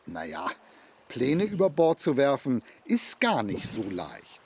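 Slow, fretful, timid foster dad. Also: male voice, medium pitch, huge pitch swings, darkish tone, slight background noise, full character voice. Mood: pleased, explaining. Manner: hesitant, stammering, evasive. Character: timid, fretful, hesitant adult foster father. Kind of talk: children's radio play acting.

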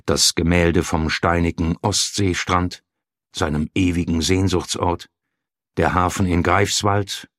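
A man narrating a literary audiobook in a sonorous, resonant voice, with measured phrasing, well-placed pauses and subtle modulation. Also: low voice, fairly even intonation, a bit bright, no echo, clean studio sound.

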